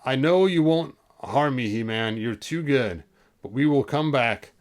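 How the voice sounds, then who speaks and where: A man reads as a menacing villain warlord in a children's fantasy storybook. He is scheming and threatening, with deliberate phrasing and a mid-range voice. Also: mid-range voice, lively intonation, lightly acted. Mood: grudging.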